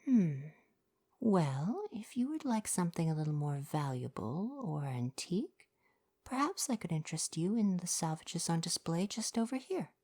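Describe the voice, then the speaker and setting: Woman playing a mellow, low-voiced kind mer shopkeeper. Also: big pitch swings, normal speed. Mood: earnest, courteous. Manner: polite, articulate, formal retail patter. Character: kind young mer person shopkeeper, courteous, earnest, gentle. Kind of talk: ASMR roleplay, softly spoken.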